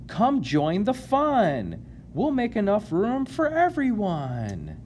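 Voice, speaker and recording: An excitable, worry-prone male storybook passenger, as a man reading aloud acts him out. He exclaims loudly and emphatically, pitched up, with big swings in tone. A faint noise sits behind the voice. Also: takes it slowly, exaggerated voice. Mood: joyful welcome.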